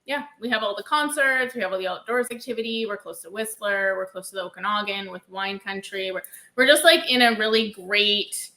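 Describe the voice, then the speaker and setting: A woman's voice: medium pitch, brisk, sharp tone, rough recording. A woman co-hosting a casual reaction chat as a realtor, talking in a chatty way with plenty of fillers. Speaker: realtor co-host.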